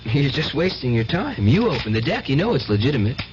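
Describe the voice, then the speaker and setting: An actor plays a devout, needy nephew in a radio drama, a man of middling pitch who speaks with great swings and earnest conviction. The old recording is dull, with faint noise. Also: sing-song, a little fast, lightly acted. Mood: confident. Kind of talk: 1970s radio drama acting.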